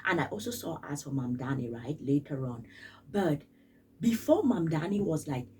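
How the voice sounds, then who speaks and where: Lively low-voiced woman. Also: moderate pace, far from the mic, a little hiss or hum. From Cameroon. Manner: emphatic, repeats phrases for stress. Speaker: vlogger. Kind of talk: casual spoken commentary.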